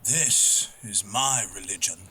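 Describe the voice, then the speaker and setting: A man playing a proud, guarded wilderness guide in an audio drama, laconic, stoic and measured. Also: on the low side, bright, forceful tone, a little hiss or hum, full character voice.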